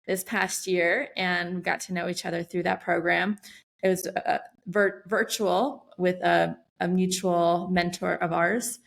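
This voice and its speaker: A woman's voice: mid-range voice, fairly bright tone. A middle-aged American woman co-hosting a podcast, speaking reflectively with frequent restarts. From United States. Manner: reflective, halting, many restarts. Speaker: podcast co-host. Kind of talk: casual podcast chat.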